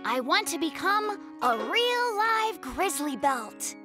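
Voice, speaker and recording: Mild, earnest cartoon bear cub, very high and lively in pitch, set over music. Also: female voice, slow, full character voice. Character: mild, earnest little brown bear cub, loyal friend. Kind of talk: children's cartoon voice acting.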